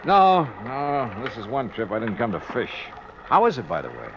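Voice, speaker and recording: A man's voice: medium pitch, huge pitch swings, moderate pace, noisy background. An actor playing a breezy, hardboiled male insurance investigator in a punchy 1950s radio drama style. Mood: casual. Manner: wry, punchy, clipped narration. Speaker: lead actor and first-person narrator. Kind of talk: 1950s radio detective acting. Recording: dull vintage radio recording, music behind.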